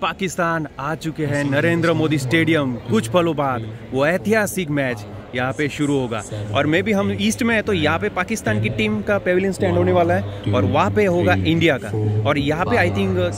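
A young man vlogging with a handheld camera at an outdoor cricket stadium, chatting excitedly to his viewers. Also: fairly high pitch, moderate pace, over music. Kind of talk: casual walking vlog. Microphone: handheld action camera. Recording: noisy outdoor crowd ambience.

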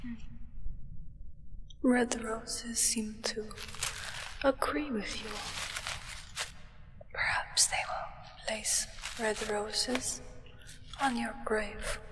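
A young woman playing an aloof, solemn acolyte of a vampire sovereign in a soft whispered ASMR roleplay. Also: fairly high pitch, fairly even intonation, noisy background. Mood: coolly disdainful. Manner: slow, measured, soft whisper. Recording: reverberant whisper with long echo.

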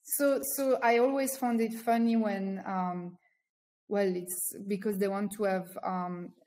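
A woman in her thirties talks through a webcam from a small room at home. Her pitch sits in the middle range, and she chats casually as an interview guest. She works out her ideas aloud with frequent ums and restarts. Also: fairly even intonation, measured pace, a little mellow. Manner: thoughtful, many ums and restarts. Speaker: guest, founder. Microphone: laptop webcam on video call.

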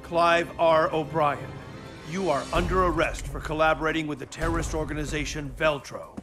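Man acting officious male agent, fairly high voice, stern, game cutscene, clear music behind. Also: fairly even intonation, normal speed, natural voice.

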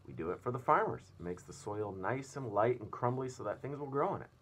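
A man puts on a self-important storybook earthworm. The boastful, emphatic voice is raised in pitch and swoops, with some huskiness. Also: lively intonation, a little fast, husky.

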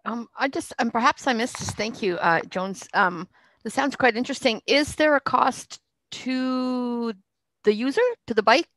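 A woman's voice: on the high side, a little slow, a bit bright, no room sound, rough recording. A woman in her fifties from Lithuania, asking questions as a committee member in an online meeting. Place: indoor room at home, on a video call. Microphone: headset boom mic.

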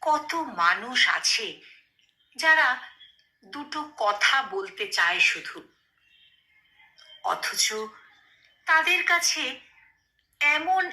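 A woman reciting poetry in a dramatic, expressive way, with deliberate phrasing and pauses. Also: high voice. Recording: roomy echo on the voice.

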